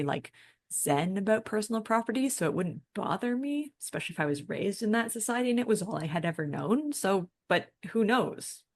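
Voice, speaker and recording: A woman co-hosting a podcast, making her points in a reflective, measured, conversational way. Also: mid-range voice. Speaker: podcast co-host. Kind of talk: conversational podcast discussion, partly prepared points.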